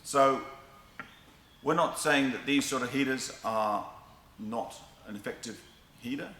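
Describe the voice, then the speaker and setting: Man, product presenter, crisp bright tone, deliberate explanatory delivery, roomy echo. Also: medium pitch. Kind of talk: product demonstration. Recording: echoey room, faint background noise.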